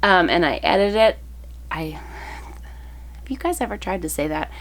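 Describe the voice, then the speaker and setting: Chatty woman, thirties, low-ish voice, slightly froggy from a cold, casual home vlog delivery. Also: lively intonation, moderate pace. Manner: chatty, many ums, small laughs. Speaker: family vlogger, mother of eight. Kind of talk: casual chat showing gift ideas. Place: home, indoors.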